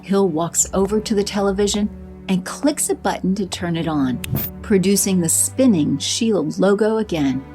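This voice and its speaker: A woman narrating an audio drama in a measured, scripted storytelling manner. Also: mid-range voice, warm tone, faint music behind.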